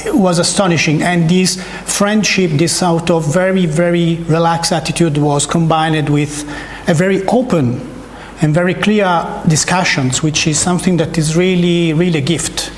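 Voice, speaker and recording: High-voiced Italian man lecturing in a roomy hall. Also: a little hiss or hum. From Italy. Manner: hesitant, many fillers and restarts, repeated words. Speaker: academic speaker. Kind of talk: research talk. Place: seminar room. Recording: echoey lecture room.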